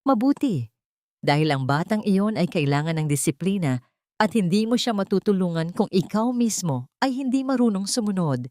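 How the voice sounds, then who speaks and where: A woman's voice: medium pitch, expressive, moderate pace, dark tone, no echo, studio quality, close to an everyday voice. A woman voicing a cruel, contemptuous elderly rich matriarch in a dramatic audiobook, speaking in a cold, commanding way. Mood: stern. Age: older. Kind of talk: dramatic audiobook character reading.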